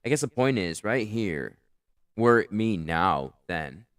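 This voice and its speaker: A man's voice: lowish voice, very animated, measured pace, fairly bright tone, dry recording, studio quality. A man with a powerful, carrying voice, casually reviewing his own comedy set in a rambling, profane, self-critical way. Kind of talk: casual commentary.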